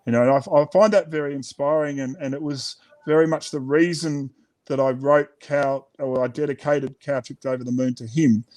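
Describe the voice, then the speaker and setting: A man's voice: medium pitch, steady tone, moderate pace, darkish tone. A middle-aged man with an Australian accent talking casually and reflectively as an author being interviewed. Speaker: children's author, interview guest.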